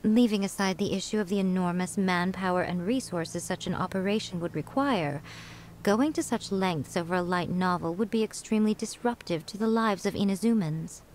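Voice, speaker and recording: A woman's voice: mid-range voice, darkish tone, slight background noise, natural voice. Woman acting a sly, teasing fox priestess. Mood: measured, explanatory. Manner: measured, teasing, self-assured. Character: sly, teasing fox shrine priestess, elegant chief editor. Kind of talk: scripted video game dialogue. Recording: music behind the voice.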